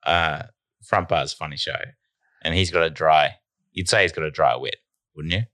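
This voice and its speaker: A man as a very deep-voiced deadpan podcaster. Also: normal speed, no echo, studio quality. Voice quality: nasal at times. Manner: deadpan, spinning a mock-serious tall tale. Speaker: podcast co-host. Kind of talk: casual podcast banter.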